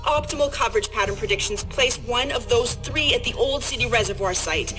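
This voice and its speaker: An actress playing an insistent, direct intelligence officer in a video game. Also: female voice, a little high, animated, fairly quick, a bit bright, low-quality recording. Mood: resolute, grave. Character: urgent, steely female intelligence officer. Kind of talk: video game cutscene acting. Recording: music underneath.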